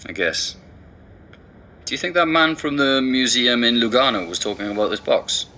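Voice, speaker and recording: A man's voice: mid-range voice, animated, normal speed, bright, forceful tone, slight background noise. An actor playing a sceptical, inquisitive man helping an investigation in a naturalistic audio drama.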